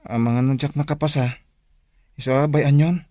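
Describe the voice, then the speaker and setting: A man's voice: mid-range voice, steady tone, normal speed, warm tone, no room sound, studio quality, lightly acted. An actor playing an earnest, polite young man about to become a teacher in a radio drama. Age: young adult. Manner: eager, emotional, polite.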